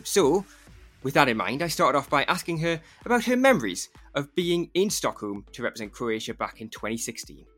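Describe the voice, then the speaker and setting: A male podcast host with a mid-range voice introduces the segment and asks his guest questions. He speaks in a clear, measured, even manner. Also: mid-range voice. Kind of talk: interview host, scripted links.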